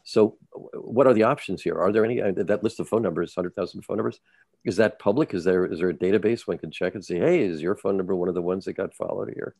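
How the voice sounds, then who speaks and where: Very deep-voiced halting man. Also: creaky, darkish tone, no room sound, clean studio sound. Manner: halting, many restarts and repeated words. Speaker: journalist, podcast co-host. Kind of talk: casual podcast discussion.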